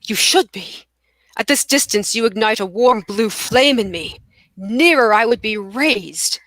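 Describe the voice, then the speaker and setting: A woman reading the part of a self-absorbed male poet, wooing grandly with dramatic pauses in a play table read. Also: fairly high pitch, expressive, fairly bright tone, no echo. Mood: seductive.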